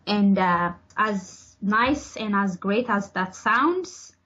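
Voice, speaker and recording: Slow, thoughtful young woman from Ethiopia. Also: mid-range voice, animated, fairly bright tone, lo-fi sound. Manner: thoughtful, hesitant with fillers and repeated words. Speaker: podcast guest, PhD student. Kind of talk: interview answers.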